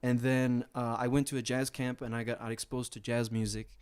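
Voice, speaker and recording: A young man from Sweden, a jazz pianist, answering interview questions in relaxed, chatty storytelling full of fillers. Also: mid-range voice, moderate pace, smooth, no echo.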